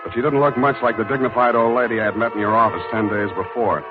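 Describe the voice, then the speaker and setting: A male American actor playing a wry, world-weary private detective in a 1940s radio drama, with a clipped deadpan delivery. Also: medium pitch, quick pace, close to an everyday voice. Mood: wry, brooding. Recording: muffled 1940s radio broadcast with music.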